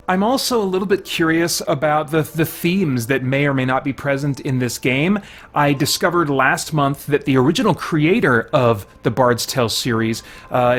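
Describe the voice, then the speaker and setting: A man's voice: on the high side, a bit bright. A man hosting a game review series, giving casual commentary in a conversational way, as if thinking aloud.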